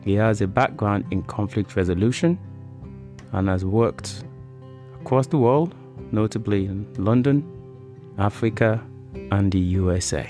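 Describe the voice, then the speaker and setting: A man's voice: fairly low pitch, a little slow, long pauses, over music. A man hosting a podcast in an informal, chatty, conversational way. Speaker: podcast host.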